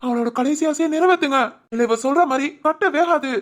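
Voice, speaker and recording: A woman's voice: high-pitched, brisk, lightly acted. A woman voicing a helpless family member who pleads in a whiny way. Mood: pleading.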